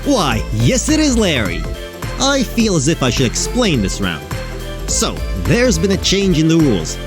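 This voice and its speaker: A man's voice: on the high side, huge pitch swings, measured pace. A man playing a slick, sardonic game show host in an audio drama, showy and mock-sympathetic. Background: music.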